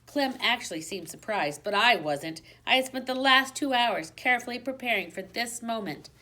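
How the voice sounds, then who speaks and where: A woman reading a children's storybook aloud in an expressive, dramatic manner, dropping to a hush for suspense. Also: fairly high pitch, normal speed. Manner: dramatic, with hushed suspenseful drops.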